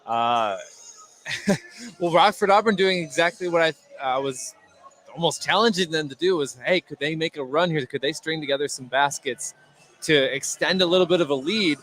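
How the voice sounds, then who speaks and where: A man giving conversational live color commentary on a basketball game in a halting, hesitant way that repeats words. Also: on the high side, fairly bright tone. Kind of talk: conversational live sports analysis. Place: indoor gymnasium during a game.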